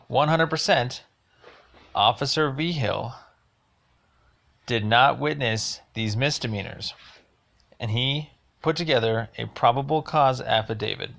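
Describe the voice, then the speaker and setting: A man leaving a rambling voicemail complaint, speaking in a halting, insistent and emphatic way. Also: medium pitch, gravelly, fairly bright tone.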